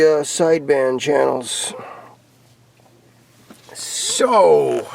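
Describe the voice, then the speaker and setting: An American man with a slightly high, creaky voice gives a casual show-and-tell of his gear. He rambles along in a plainspoken, laid-back way. There is faint noise behind him, with some clicks, as on a handheld camera. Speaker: hobbyist vlogger showing off CB radio gear. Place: in or around a pickup truck.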